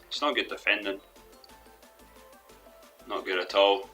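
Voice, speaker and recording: A young man commentating his own football management game in a rambling, hesitant way, his voice a little hoarse from losing it. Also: fairly low pitch, fairly even intonation, a little slow, sharp tone. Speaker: gaming commentator. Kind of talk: let's play commentary. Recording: faint background music.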